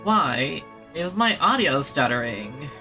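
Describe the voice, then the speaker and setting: Casting: female game streamer, casual reactions, lively intonation, slow pace, music faintly behind. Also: deep voice. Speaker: gaming streamer. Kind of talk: casual live playthrough commentary.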